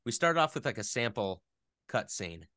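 A man speaking casually as an interview guest, rambling along with animated, geeky enthusiasm. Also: mid-range voice, normal speed, dry recording, studio quality.

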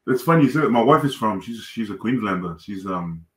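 A man in his thirties from Tonga with a mixed Pacific Island and Australian accent, telling his life story as a podcast guest in a relaxed, laughing way over a video call. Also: on the low side, brisk, over a noisy crowd. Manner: laid-back storytelling with frequent laughter. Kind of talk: casual chat. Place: home room.

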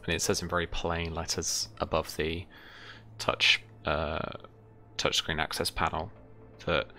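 Young guy game master, low bright voice, feels his way through descriptions, halting. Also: little pitch movement. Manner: halting, thoughtful phrasing. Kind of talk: unscripted tabletop game narration. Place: indoor room, online video call. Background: faint music.

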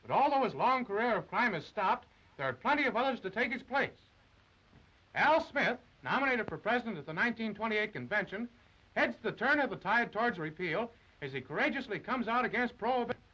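High, forceful 1930s newsreel man. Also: expressive, brisk. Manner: emphatic, declamatory. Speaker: newsreel narrator. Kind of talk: dramatic 1930s newsreel narration. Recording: dull vintage 1930s film soundtrack.

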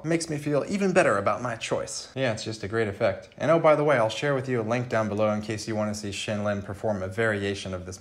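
Young man with a cold, joking dryly. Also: fairly low pitch. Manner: dry self-mocking humor. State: has a cold. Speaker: magician reacting to videos. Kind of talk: casual reaction commentary.

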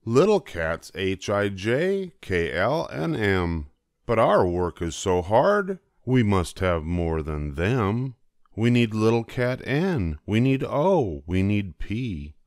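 A man's voice: fairly low pitch, big pitch swings, takes it slowly, a little mellow, dry recording, studio quality, exaggerated voice. An older man voicing eager, determined little helper cats in a children's storybook, with an emphatic can-do manner. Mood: eager, pleading. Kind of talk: children's storybook character reading.

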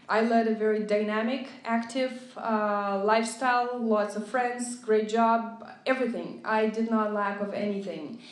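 A woman in her thirties from Lithuania with a Lithuanian accent, a motivational speaker telling her story earnestly to a group, with many fillers and soft laughs. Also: fairly high pitch. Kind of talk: inspirational talk to a group. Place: meeting room at a friend's house. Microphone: phone voice recorder app. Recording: echoey room on a phone recorder.

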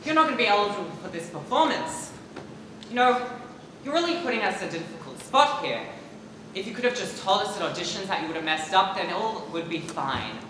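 Young man as kindly exasperated schoolteacher, stage play, lively pitch, echoey hall, faint noise. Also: brisk. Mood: firm, consoling. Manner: exasperated, earnest. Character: disappointed but kindly high school teacher. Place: theatre stage, filmed from the audience. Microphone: far camera.

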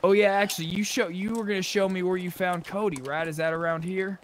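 A man's voice: high-pitched, fairly even intonation, fairly quick. A man chatting casually in character during an online survival roleplay game, talking over a gaming voice chat microphone. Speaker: player in a zombie survival roleplay. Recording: clearly noisy game voice chat.

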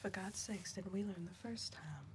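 A young woman playing a sharp-tongued, scornful backwoods niece in a dry, hushed ASMR whisper. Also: medium pitch, normal speed, lightly acted. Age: young adult. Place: dim indoor room, seated close to the mic. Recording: close mic with clear background hiss.

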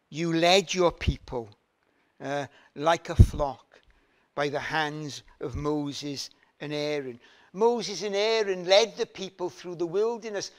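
An older man from Wales preaching a sermon at a church lectern, building emphasis through repeated phrases. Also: high-pitched. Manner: slow, emphatic preaching with rising repeated phrases and hand gestures. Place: church hall, standing at a lectern.

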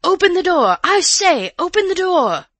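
A woman voicing a loud, angry, demanding man in an audiobook story. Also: very high-pitched, very animated, normal speed, no echo, voice pushed up, exaggerated voice. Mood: furious.

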